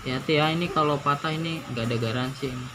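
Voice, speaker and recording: A man's voice: fairly high pitch, fast talker. A man giving a casual unboxing commentary, informal and thinking aloud as he goes. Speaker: product reviewer. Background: music.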